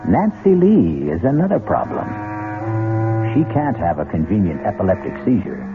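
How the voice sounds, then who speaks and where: Male mystery narrator: deep, wry, theatrical, muffled old radio sound with clear music behind. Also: huge pitch swings. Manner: wry, theatrical, deliberate phrasing. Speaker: host narrator. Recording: muffled, narrow-band old radio recording.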